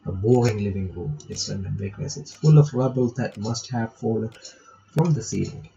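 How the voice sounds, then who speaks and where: A man's voice: lowish voice, moderate pace, vocal fry, warm tone, lo-fi sound, slight background noise. A man reading visual novel text aloud with casual commentary, breaking off to remark and reread lines. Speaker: gaming reaction video maker.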